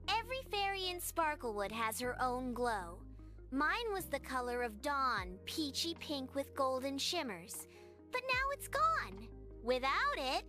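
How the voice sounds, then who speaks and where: A woman's voice: sits high, bright, forceful tone, voice pushed up, heavily acted. A woman voicing a tiny, timid, sad little fairy girl in a bedtime story, shy and plaintive. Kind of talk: children's bedtime storybook dialogue.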